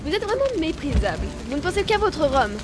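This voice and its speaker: An actress playing a haughty, sharp-tongued young woman who mocks a pirate in a comic audio drama. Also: high voice, big pitch swings, quick pace, very noisy. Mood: scornful. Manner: scornful and sarcastic.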